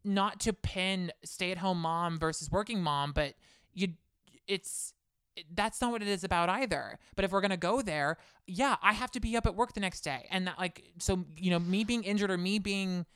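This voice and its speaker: A woman's voice: lowish voice, fairly bright tone, clear, resonant tone, dry recording, clean studio sound. A woman co-hosting a podcast, chatting casually and ranting with strong opinions. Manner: heated, opinionated, fast rants. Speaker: podcast co-host. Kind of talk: casual chat about reality TV.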